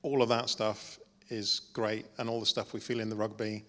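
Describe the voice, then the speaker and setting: A man's voice: fairly low pitch, level tone, normal speed, a bit bright. A man on a film panel who makes his points in an emphatic, persuasive way, often repeating words. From Wales. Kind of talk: panel discussion. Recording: hall reverb.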